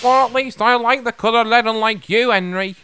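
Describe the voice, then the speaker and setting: A man's voice: sits high, big pitch swings, takes it slowly, background noise, pitched above the performer's own voice, exaggerated voice. A man voicing a vain, huffy steam engine in a children's story, boastful and whiny in his exclamations. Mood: indignant.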